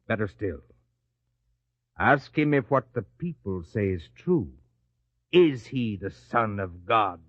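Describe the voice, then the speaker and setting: A sly, commanding schemer of a priest in a biblical radio play. He is curt and impatient, with a lively rise and fall. The recording is slightly muffled and lo-fi. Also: on the low side, takes it slowly, long pauses, dry recording, heavily acted. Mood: sly, calculating. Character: cunning, commanding male elder plotting a conviction. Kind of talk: biblical radio drama acting. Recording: dull, lo-fi radio drama recording.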